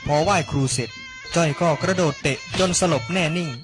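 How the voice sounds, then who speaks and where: Higher-pitched young man narrating over music. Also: brisk. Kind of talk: scripted animated story narration. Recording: loud music under the voice. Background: loud background music.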